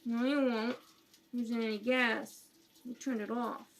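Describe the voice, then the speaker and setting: A woman's voice: on the high side, level tone, unhurried. A woman playing a stubborn, wary little girl in a radio drama, giving reluctant, childlike answers. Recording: homemade kitchen-table recording with background noise.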